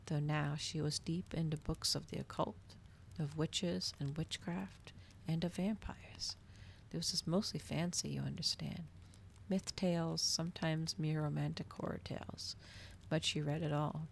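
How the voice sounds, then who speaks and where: A woman reading a story aloud in a soft, breathy ASMR style, with dramatic storytelling delivery, close to the microphone. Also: deep voice, a little hiss or hum. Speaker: streamer reading a novel aloud.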